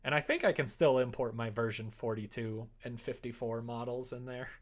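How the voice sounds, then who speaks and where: A man's voice: mid-range voice, fairly even intonation, normal speed. A man streaming casually, talking informally and muttering to himself as he works. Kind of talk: casual livestream chat while coding a visual novel.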